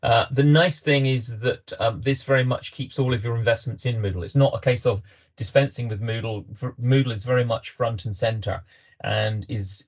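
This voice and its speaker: British male webinar host, halting delivery full of fillers, muffled call audio. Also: fairly low pitch. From Britain. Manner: hesitant, many ums and restarts. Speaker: webinar host, company representative. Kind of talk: business webinar presentation.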